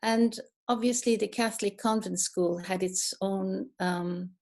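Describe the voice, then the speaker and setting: A middle-aged woman from Iran gives a talk over a video call, taking her time with each phrase. She speaks at an unhurried pace and a middling pitch, often pausing on fillers and restarting her sentences as she searches for the right words. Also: steady tone, a little mellow. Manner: slow, thoughtful, with many fillers and restarts. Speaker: guest speaker, neuroscientist. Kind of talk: online talk with slides.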